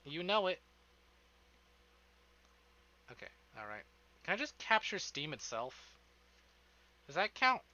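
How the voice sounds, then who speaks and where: A male streamer talks casually to his viewers in a rambling, sweary, informal way. His voice is very bright and forceful, sitting a little high for a man, and the stream audio is slightly dull and band-limited. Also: big pitch swings, moderate pace. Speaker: live streamer.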